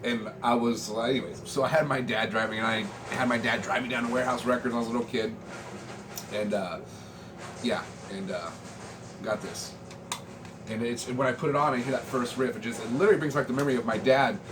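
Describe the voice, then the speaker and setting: A man's voice: mid-range voice, fairly even intonation, fairly quick. Rambling American man over noticeable noise. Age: 40s. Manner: laid-back, slangy, meandering. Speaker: vlogger showing a music collection. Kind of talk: casual rambling chat. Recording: clearly noisy room ambience.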